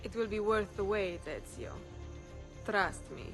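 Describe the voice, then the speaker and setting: A woman's voice: mid-range voice, lively intonation, a little slow. Coy Italian noblewoman, music behind. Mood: teasing. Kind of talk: video game cutscene acting.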